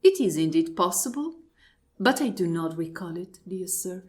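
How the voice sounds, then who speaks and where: A woman's voice: on the low side, animated, moderate pace, darkish tone, close to an everyday voice. A woman playing a genteel, wonderstruck married lady in an absurdist radio play, formal and exclamatory, repeating her polite phrases. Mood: mild astonishment. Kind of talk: absurdist stage dialogue played as radio drama.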